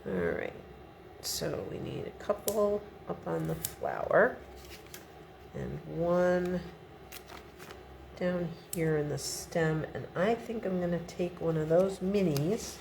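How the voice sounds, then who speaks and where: Slow, gravelly-voiced woman teaching crafts. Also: fairly low pitch, a little mellow, slight background noise. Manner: chatty step-by-step instructions. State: sniffly from a cold. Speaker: card-making tutor. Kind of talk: relaxed craft tutorial. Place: home craft desk indoors. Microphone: overhead camera above the desk.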